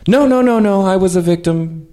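A man's voice: sits high, moderate pace, warm tone, natural voice. A man improvising a comedy radio play as a chummy, oddly upbeat captive who talks casually and apologetically about horror. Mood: breezy.

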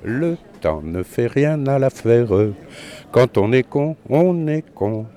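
A man answering a street interview slowly and deliberately, in a proverb-like way. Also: mid-range voice, expressive, warm tone. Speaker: passerby interviewed.

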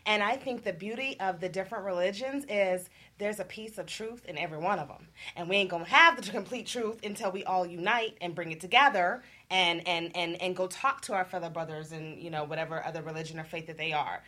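Female panelist, slightly low voice, folksy and encouraging, casual talk. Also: brisk, few pauses, sharp tone.

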